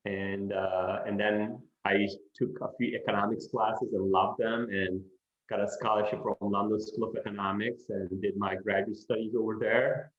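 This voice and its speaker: A middle-aged man from Turkey, a professor answering interview questions in an unhurried way, with many "you know" fillers. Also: on the low side, flat delivery. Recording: echoey room, dull lo-fi sound.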